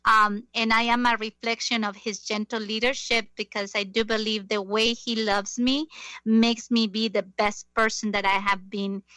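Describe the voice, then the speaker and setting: A woman's voice: on the high side, flat delivery, normal speed, sharp tone, dry recording. A middle-aged woman hosting an interview in a chatty, self-deprecating way with many fillers. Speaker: interview host. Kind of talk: casual interview chat.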